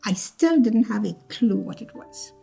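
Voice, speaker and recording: An older woman from Trinidad recounts her past in a reflective interview, often repeating herself and voicing the words of others. Her voice is mellow and carries a gravelly fry. Soft music plays faintly behind her. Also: medium pitch, lively intonation, measured pace. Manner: reflective, repeats phrases, acts out quoted speech. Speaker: cancer survivor telling her story. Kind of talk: personal storytelling interview.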